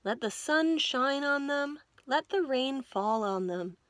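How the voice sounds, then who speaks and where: A woman's voice: high-pitched, expressive, takes it slowly, a bit bright, voice pushed up, heavily acted. A woman voicing a kindly, sensible male frog from a children's story, in a reassuring, instructive way.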